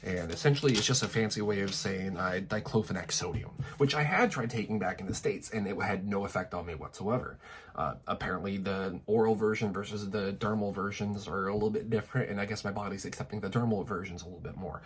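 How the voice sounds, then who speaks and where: Lowish-voiced man, frank and rambling. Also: quick pace, a little hiss or hum. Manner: frank, swears a lot, rambling with restarts. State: stuffy, getting over a cold. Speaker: vlogger. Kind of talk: candid personal vlog rant. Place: apartment in Tokyo.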